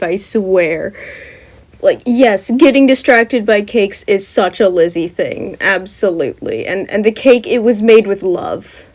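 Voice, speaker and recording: A woman reading her own fan fiction aloud, chatty and upbeat between chapters. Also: mid-range voice. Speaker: fan fiction author and reader. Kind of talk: storybook reading with voices.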